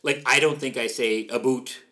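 A man with a Canadian accent chatting casually as a podcast co-host, animated and playfully nerdy. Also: medium pitch, normal speed. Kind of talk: casual chat.

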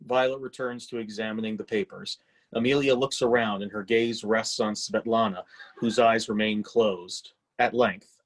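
A man's voice: fairly low pitch, fairly even intonation, normal speed. A man narrating stage directions for a play reading in a scripted style.

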